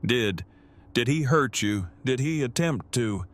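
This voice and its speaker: A man acting an earnest, worried survivor in an audio drama, speaking in a stiffly formal, measured manner. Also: medium pitch, animated. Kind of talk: full-cast fan audio drama acting.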